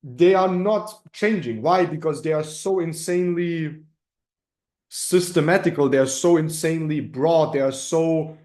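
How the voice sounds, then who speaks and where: The German-accented man you'd hear leading an online beginners' class from a bare room, mellow and a touch high, forever checking you follow. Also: unhurried. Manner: emphatic, repetitive, checks in with "right?" and "okay?". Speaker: crypto trading coach hosting a community call. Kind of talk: informal explanatory teaching. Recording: roomy, echoing sound.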